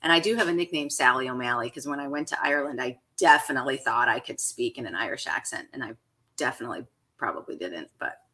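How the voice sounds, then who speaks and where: A woman's voice: rumbling low, fast. A woman with a Chicago accent, a chef and coach, chatting enthusiastically as a guest on a radio show. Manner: enthusiastic, animated. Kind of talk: casual interview chat.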